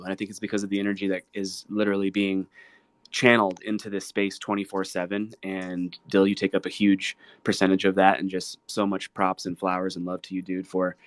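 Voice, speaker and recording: An American man, a singer-songwriter guest, talking casually and from the heart in an earnest, effusive, halting way. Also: sits low, dry recording. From Ohio, United States. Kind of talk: casual heartfelt chat between live songs.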